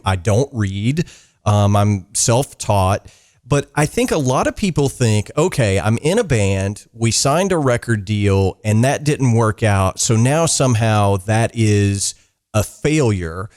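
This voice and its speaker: A middle-aged man hosts a podcast at an unhurried, deliberate pace. His pitch is average, and he works his questions out aloud, repeating words as he goes. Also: a little mellow. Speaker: podcast host. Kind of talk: podcast interview.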